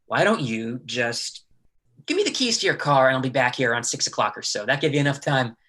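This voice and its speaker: A man acts out a streetwise drifter brother in a stage drama. His voice is bright and forceful, with terse, slangy, needling lines that carry a sly menace. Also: mid-range voice, lively intonation, a little fast. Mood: pushy, taunting. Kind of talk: play reading, stage drama dialogue.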